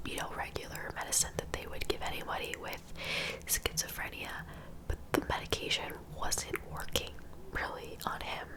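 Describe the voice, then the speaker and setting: A woman telling a story in a soft, breathy whisper, ASMR style, with gentle, informal, confiding asides. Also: very high voice, low-quality recording. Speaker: host of a true crime ASMR series.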